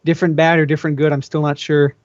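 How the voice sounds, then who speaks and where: Higher-voiced man reading fan fiction aloud. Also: flat delivery, fast, no echo. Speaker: amateur fan fiction reader. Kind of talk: informal read-aloud of a first-person story. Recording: dry, dull lo-fi home recording.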